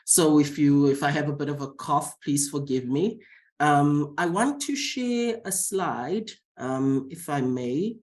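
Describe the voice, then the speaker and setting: Scientist guy with a cold, formal and measured, talking into a video call. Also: on the high side. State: has a cold. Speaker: scientist, panelist. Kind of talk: prepared talk.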